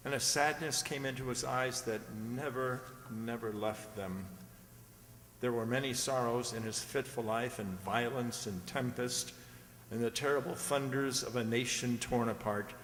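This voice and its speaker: A man's voice: medium pitch, a little slow, fairly bright tone, slight background noise, natural voice. A man playing a solemn storyteller, narrating a radio drama in an elegiac, scripted way. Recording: echoey hall with music behind.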